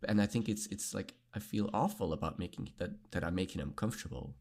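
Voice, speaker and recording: Earnest low-voiced man, chatty guest. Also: animated, quick pace, vocal fry, darkish tone. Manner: earnest, reflective, laughs often, frequent fillers. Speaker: podcast guest. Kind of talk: candid conversational interview.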